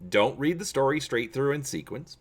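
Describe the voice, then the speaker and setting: A man hosting a gaming channel, explaining to viewers and reading aloud dramatically with expressive emphasis. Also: mid-range voice, normal speed.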